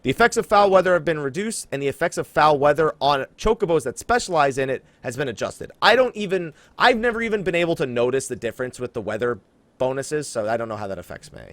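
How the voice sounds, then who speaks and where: Male podcast host, higher-pitched and very lively in intonation, chatting casually on a close, dry recording. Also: fast, no room sound. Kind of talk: casual game podcast chat.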